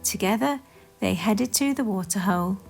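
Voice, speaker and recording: A woman reading a children's story aloud as expressive scripted narration, in a deliberate, animated manner. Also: mid-range voice, vocal fry, faint music behind.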